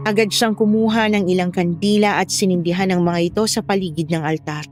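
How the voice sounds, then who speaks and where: A woman's voice: on the low side, fairly even intonation, fairly quick, a little mellow. A woman reading a scripted first-person horror story as its narrator. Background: music.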